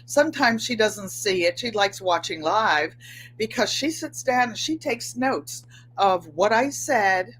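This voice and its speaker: A woman in her seventies with a New England accent, slightly nasal and twangy, chatting excitedly to viewers as host of her livestream from a room at home. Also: mid-range voice, measured pace, slight background noise, rough recording. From Connecticut, USA. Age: 70s. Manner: chatty, excitable, gestures with hands. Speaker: channel host. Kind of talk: casual livestream chat.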